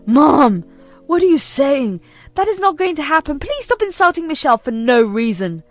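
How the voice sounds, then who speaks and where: A woman's voice: sits high, big pitch swings, normal speed, faint music behind, voice pushed up. A woman voicing a torn adult son who answers his mother in a firm, earnest, weary way.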